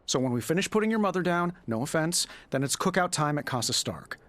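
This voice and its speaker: A man's voice: on the high side, lively intonation, fast talker, fairly bright tone. A man playing a glib, flippant, wisecracking superhero in a video game. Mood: wry, glib. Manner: flippant, chatty.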